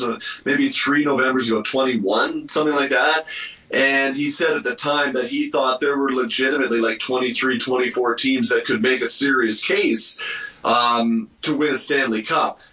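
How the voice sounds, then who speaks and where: Male hockey pundit, forceful edge, measured and opinionated, on a roomy remote call away from the mic. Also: mid-range voice, recorded from a distance. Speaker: hockey radio commentator, guest analyst. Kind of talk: conversational sports commentary.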